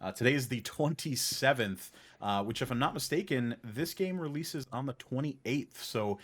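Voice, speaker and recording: A man's voice: mid-range voice, animated, normal speed. A man hosting a podcast in an informal, laid-back, conversational way. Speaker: podcast host.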